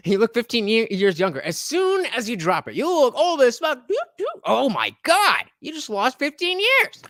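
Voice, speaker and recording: A man's voice: extremely high pitch, huge pitch swings, normal speed, fairly bright tone, no echo. A man hosting a live stream, reacting to a clip with wry, hesitant commentary. His voice swings widely up and down, sounding close and dry with no room behind it. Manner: hesitant, many uh fillers, sardonic asides.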